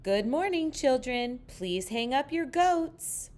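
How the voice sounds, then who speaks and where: A woman reader voicing a silly, cheerful lady schoolteacher in a children's storybook, announcing things in a bright sing-song manner. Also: high-pitched, lively intonation, takes it slowly, voice pushed up.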